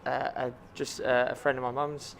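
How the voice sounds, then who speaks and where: A man, a chef in his thirties, talks about his work in a gravelly, mid-pitched voice. He answers earnestly, with frequent ums and restarts. A faint hum of noise sits under the recording. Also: little pitch movement, normal speed. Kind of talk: interview answers.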